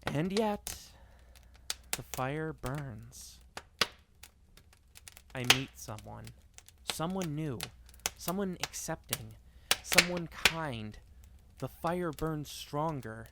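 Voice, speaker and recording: A man performing a dramatic monologue reading in a deliberate, repeating cadence with weighted pauses. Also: a little high, expressive, very noisy. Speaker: monologue reader.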